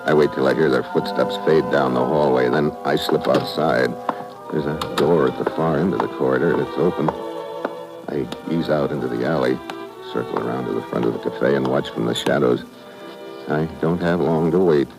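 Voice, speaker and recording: Hard-boiled american detective, super deep, clipped slangy 50s radio noir, loud music on it. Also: male voice, normal speed, gravelly. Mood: cool, watchful. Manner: clipped, slangy, cool delivery. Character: hard-boiled American male investigator narrating his own case. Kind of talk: 1950s radio noir acting, first-person narration.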